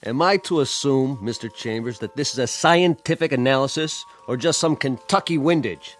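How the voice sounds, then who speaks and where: Stern male colonel for an actor, radio drama: medium pitch, clipped and sardonic, used to giving orders. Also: moderate pace, faint music behind, close to an everyday voice. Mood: sardonic, commanding.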